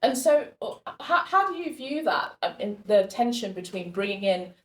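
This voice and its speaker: A woman moderating a panel discussion, asking a question with many pauses and fillers. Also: mid-range voice, very animated, roomy sound.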